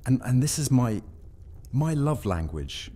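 A man's voice: medium pitch, very animated, unhurried, mellow, husky. A man playing a flippant, theatrical vampire soldier with a sardonic manner in a fantasy audio drama. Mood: teasing. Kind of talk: immersive fantasy roleplay audiobook acting.

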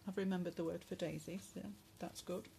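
A lowish, slightly hoarse woman chats gently through a craft journal, pausing often with "um"s. She is recorded on a phone above a table at home, with a faint hum in the room behind her. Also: steady tone. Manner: soft, chatty, with many pauses and fillers. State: going hoarse, clearing her throat. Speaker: crafter showing a handmade journal. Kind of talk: casual craft flip-through narration. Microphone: phone camera above the table.

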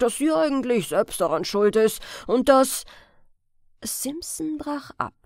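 Female audiobook narrator, crime fiction, animated intonation, slow pace. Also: a little high, creaky, no echo, studio quality. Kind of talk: scripted crime story narration.

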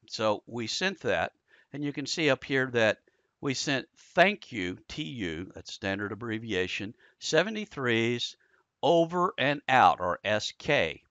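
A man giving a casual, conversational software tutorial, explaining things step by step as an instructor. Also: mid-range voice, big pitch swings, unhurried, no echo. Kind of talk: casual screen-share software tutorial narration. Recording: dull lo-fi close dry voice-over.

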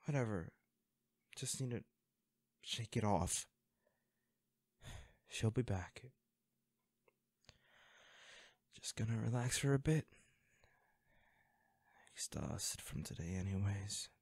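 A man's voice: on the low side, lively intonation, slow, pauses often, a little mellow, breathy edge, heavily acted. A man acting a hot-tempered but caring boyfriend in an intimate audio roleplay, with naturalistic, sweary delivery. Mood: subdued, tired. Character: hot-tempered boyfriend, stressed then remorseful.

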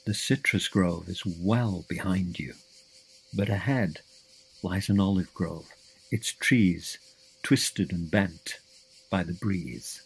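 A man narrating a scripted guided visualization in a measured, deliberate way, giving instructions in short phrases. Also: deep voice, vocal fry, slightly rough, rough recording.

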